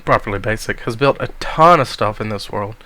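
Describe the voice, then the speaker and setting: A man with a cold giving a casual, rambling tour of a game world, speaking softly to spare his voice. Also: lowish voice, a little fast. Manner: rambling, many ums, soft-spoken to spare his voice. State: has a cold. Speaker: gamer giving a server tour.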